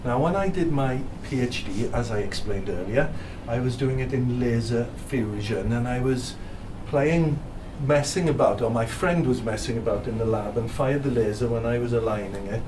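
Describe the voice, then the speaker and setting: A man's voice: mid-range voice, moderate pace, few pauses. A Welsh man, a physicist, giving a live presentation to an audience with anecdotes and dry self-deprecating humor. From South Wales. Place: hotel function room. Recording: distant mic with room noise.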